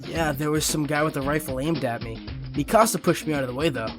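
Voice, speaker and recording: Woman voicing blunt teen boy, low pitch, informal, defensive, audio drama, faint music. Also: fast talker, lightly acted. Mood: matter-of-fact. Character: blunt, defensive, puzzled teenage boy student. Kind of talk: scripted audio drama acting.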